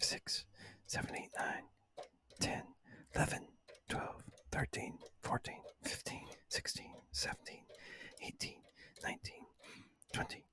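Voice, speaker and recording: A man hosting ASMR, speaking to the listener in a hushed, breathy whisper and soft voice. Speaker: ASMR video host.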